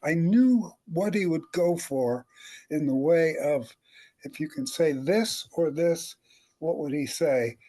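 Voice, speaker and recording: An older man with a somewhat high-pitched voice talks as a veteran writer on a panel. He rambles through wry anecdotes and chuckles as he goes. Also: slow pace, dark tone. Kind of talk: animated anecdotal panel talk.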